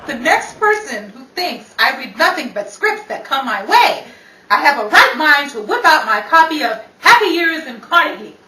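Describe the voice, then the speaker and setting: Woman playing brassy 1930s stage star, high animated voice, bossy, sarcastic, wisecracking, old-time radio comedy, roomy noisy recording. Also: normal speed, recorded from a distance. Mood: boastful, snappy.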